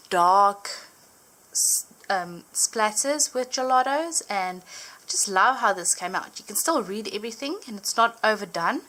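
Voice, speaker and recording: A young woman whose first language is Afrikaans, chatting casually as she flips through her journaled Bible, full of little fillers and gentle enthusiasm. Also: medium pitch, rough recording. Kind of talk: casual show-and-tell narration. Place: indoors at a table, hands over an open book.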